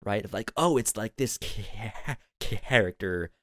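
Halting man chatting on a podcast. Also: fairly low pitch, animated, no echo. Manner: halting, rambling, often repeats words. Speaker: podcast co-host. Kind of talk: casual review discussion.

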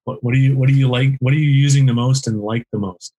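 Husky-voiced jokey male podcast host. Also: medium pitch, poor audio. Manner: relaxed, jokey, asks quick questions. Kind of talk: casual interview chat. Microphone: headset with boom mic.

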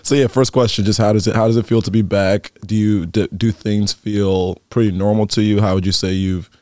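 A man's voice: fairly low pitch, steady tone, fairly quick, a little mellow, no room sound. Young male podcast host, dry sound. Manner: easygoing, chatty questions. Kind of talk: casual interview hosting.

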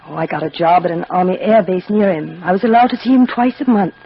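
A woman's voice: lowish voice, fast, slightly rough, lo-fi sound, faint music behind. An actress playing a restrained, troubled married woman in her thirties in an old-time radio drama. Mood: subdued, guarded. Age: 30s.

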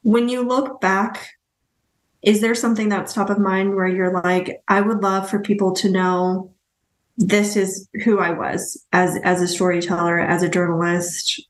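A woman's voice: mid-range voice, flat delivery, measured pace, reverberant, rough recording. A woman co-hosting a podcast, asking curious, searching questions that she rephrases as she goes. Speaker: podcast co-host. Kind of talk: casual interview conversation.

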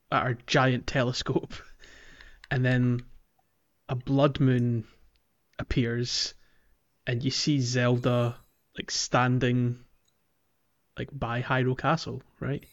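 Slightly muffled man, halting casual chat. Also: medium pitch, a bit bright. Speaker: podcast co-host. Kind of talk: casual chat, gaming podcast. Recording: muffled lo-fi mic, music behind. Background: background music.

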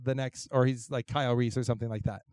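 A young man with a voice gone hoarse, co-hosting a live panel show and rambling playfully with lots of fillers. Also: mid-range voice, flat delivery, fast talker, darkish tone, dry recording, clean studio sound. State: hoarse from losing his voice. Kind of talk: casual comedic banter with a live audience.

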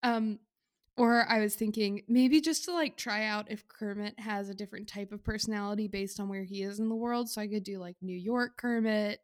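A woman co-hosts a casual comedy podcast. Her voice sits at a middling pitch, and she speaks tentatively, working out her ideas aloud. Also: fairly even intonation, normal speed. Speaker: podcast co-host.

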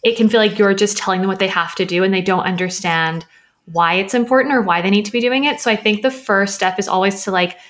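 A woman's voice: medium pitch, quick pace, a bit bright. A woman with a mid-range voice chatting as a podcast guest. She talks freely and casually and fills her sentences with "like" as she explains her work. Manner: chatty, heavy use of "like". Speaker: social media business founder.

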